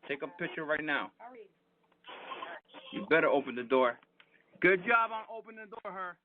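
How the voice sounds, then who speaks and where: A man's voice: on the high side, very animated, normal speed. A middle-aged man chatting casually as a father, with an insistent, questioning way of talking, over a doorbell intercom. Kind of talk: casual conversation. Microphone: doorbell intercom call. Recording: phone-like doorbell intercom audio, clear background noise.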